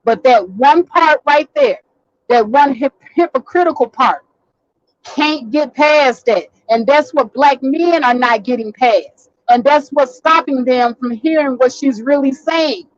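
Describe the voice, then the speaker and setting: A high-pitched woman holds forth on a live stream from behind the wheel, blunt and animated and cursing freely, her voice wearing hoarse. The sound is dry and a touch dull. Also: a little slow, no echo. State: getting hoarse. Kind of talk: casual live stream commentary. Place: in a vehicle, driving.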